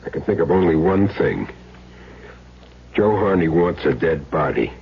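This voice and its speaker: A man's voice: sits low, expressive, a little slow, slightly rough, full character voice. An actor playing a grim man in a crime story, in 1970s radio drama style. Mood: grim, measured. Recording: dull, noisy old radio broadcast.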